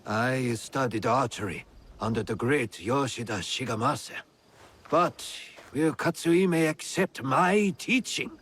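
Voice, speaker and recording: A man playing a stern samurai lord in a video game, speaking in a deliberate, formal way. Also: mid-range voice, animated, a bit bright, husky. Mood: brooding. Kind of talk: video game cutscene voice acting.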